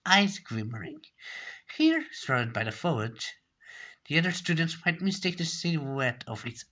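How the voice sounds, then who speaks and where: Bright-voiced man reading a story aloud. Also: medium pitch. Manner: stumbles and repeats words while reading. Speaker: amateur story reader. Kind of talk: dramatic read-aloud narration of a story.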